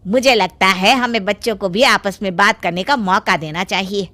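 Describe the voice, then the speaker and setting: A woman's voice: medium pitch, normal speed, pushed and bright, dry recording, voice pushed down, natural voice. A woman voicing a polite middle-aged father of the bride in a cartoon story. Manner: polite, formal.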